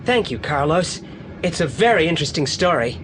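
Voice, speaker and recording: Low, lively British boy detective. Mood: pensive, assured. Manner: precise, wordy, measured. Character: clever, self-assured, formal-spoken boy detective leader. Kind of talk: British audio drama acting. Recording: noisy old audio play recording.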